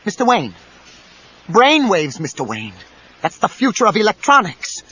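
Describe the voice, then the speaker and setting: An actor playing an obsessive, grandiose inventor with an ingratiating manner in a 1990s audio drama. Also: male voice, high voice, normal speed, husky, heavily acted. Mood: fawning excitement. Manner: over-excited, ingratiating, grandiose. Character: eager, obsessive, fawning male inventor, nursing resentment. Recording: dull cassette, faint noise.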